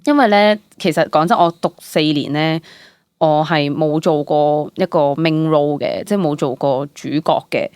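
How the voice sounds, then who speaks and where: A young woman with a Hong Kong Cantonese accent speaks in a lowish voice, recorded close and dry. She chats casually and expressively as a guest, dropping English words into her sentences. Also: moderate pace, clean tone, dry recording. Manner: animated storytelling with exclamations, English words mixed in. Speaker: guest, stage actress. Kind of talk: casual personal interview chat.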